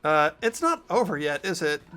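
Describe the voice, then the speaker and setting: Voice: mid-range voice, very animated, moderate pace, fairly bright tone, soft background music. A player voicing an earnest young student sleuth. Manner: earnest, reasoning aloud. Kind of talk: fan voice acting of a game.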